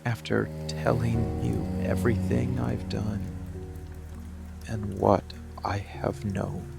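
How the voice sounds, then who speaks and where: Man acting a very gravelly, slow seductive monster. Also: completely flat delivery, heavily acted. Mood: grave, controlling. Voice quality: low gravelly voice, breathy whispered edges. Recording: music and rain behind voice. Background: music, rain.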